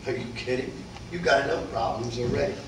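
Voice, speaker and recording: A distant, very echoey recording with clear background noise carries a blunt, world-weary boxing manager played by a man in a staged reading. He cautions his fighter in a matter-of-fact way. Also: medium pitch, a little fast, close to an everyday voice. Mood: stern, warning. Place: live staged reading in a roomy indoor space. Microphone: distant video camera. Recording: echoey, noisy room, distant camera microphone.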